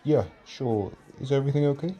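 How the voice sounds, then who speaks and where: Very dark-toned, husky man as easygoing friend. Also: medium pitch, a little fast, muffled recording, close to an everyday voice. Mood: friendly. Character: easygoing, considerate male friend at a party. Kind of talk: casual chat in roleplay audio. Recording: music behind the voice.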